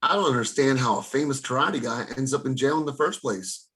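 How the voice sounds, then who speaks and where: A man's voice: mid-range voice, lively intonation, a little fast, fairly bright tone, lightly acted. A man playing a skeptical, curt parole board member in a matter-of-fact script table read.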